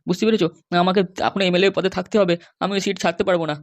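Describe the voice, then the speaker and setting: Guy doing a henpecked west bengal husband in a cartoon, talks really really fast, wheedling and grumbling. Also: high voice, level tone, no room sound. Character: henpecked, pleading middle-aged husband, small-time politician. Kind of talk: comic cartoon dialogue.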